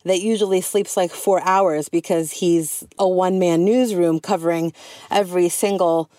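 A woman reporter telling a story in a conversational, animated way. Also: on the low side, moderate pace, no room sound, studio quality. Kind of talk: news feature narration.

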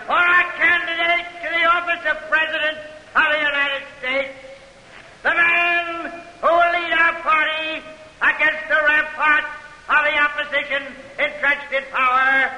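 An actor playing a rousing 1860s American political crier in an old radio drama, shouting in drawn-out, declamatory style. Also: male voice, extremely high pitch, full character voice. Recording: echoey hall, old radio, faint crowd.

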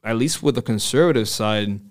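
A man's voice: fairly low pitch, fairly quick. A man in his early thirties with an American accent, chatting casually and candidly as a podcast host, swearing freely. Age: early 30s. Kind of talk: casual conversational chat.

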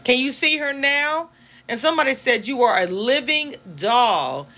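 A woman's voice: high-pitched, animated, measured pace, bright, forceful tone. A woman hosting a live-streamed interview, supportive and chatty, reading out comments from the chat. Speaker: interview host. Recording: noisy stream audio.

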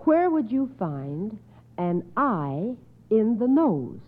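A woman's voice: fairly high pitch, sing-song, takes it slowly. A woman hosting a radio quiz in a precise, formal, encouraging manner. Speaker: quiz show host. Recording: tinny, narrow old radio broadcast.

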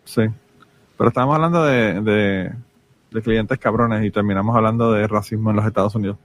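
A man's voice: on the low side, a little fast. Man, casual podcast co-host, salty storyteller. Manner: storytelling, swears freely, many restarts. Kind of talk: casual chat.

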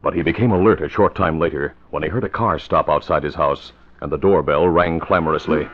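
A man's voice: extremely low pitch, brisk. A man giving dramatic scripted narration for a vintage radio crime serial. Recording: muffled, noisy vintage radio recording.

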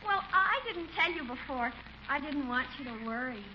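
A woman's voice: sits high, expressive, normal speed. A woman playing a sweet, coy, gushing little girl in old-time radio comedy style. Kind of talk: 1940s radio comedy acting. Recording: muffled, noisy old radio recording.

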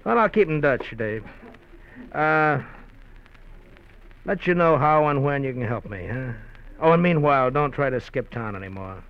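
An actor playing a sly, menacing gangster in an old radio crime drama, taunting with false friendliness. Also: male voice, mid-range voice, lively intonation, normal speed, pauses often, dry recording, natural voice. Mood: veiled menace. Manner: taunting, falsely friendly, chuckling between threats. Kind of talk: 1940s-50s radio crime drama acting. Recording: muffled, noisy old radio recording.

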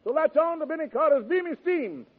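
A man's voice: very high voice, animated, a little fast. A man hosting a radio show in a 1940s jive announcing style. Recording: tinny old radio recording, audience behind.

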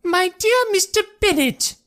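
A man voicing an excitable, pushy middle-aged English wife in an audiobook, gushing and insistent. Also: very high-pitched, measured pace, full character voice. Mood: eager, gossipy. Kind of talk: audiobook character voice.

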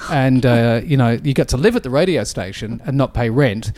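An Australian man in his fifties sits in a radio studio, spinning animated, self-deprecating anecdotes about his early broadcasting days. His voice is mid-pitched and conversational, never showy. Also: moderate pace, no room sound. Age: 50s. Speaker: radio DJ guest. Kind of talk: casual interview storytelling.

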